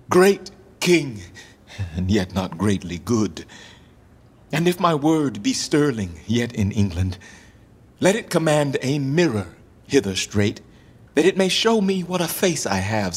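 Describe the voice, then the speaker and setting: A man's voice: medium pitch, big pitch swings, measured pace, husky. An actor playing a deposed English king in a Shakespearean radio play, declaiming verse in an anguished, mournful and bitterly proud way. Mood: despairing, mournful.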